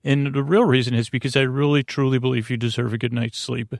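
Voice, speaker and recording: Man, medium pitch, creaky fry, hesitant rambling, lots of filler words, gentle sleep podcast host. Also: steady tone, fast talker, no room sound, clean studio sound. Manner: meandering, hesitant, filler-heavy with tangents; gentle, soothing, quietly whimsical. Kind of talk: rambling bedtime monologue.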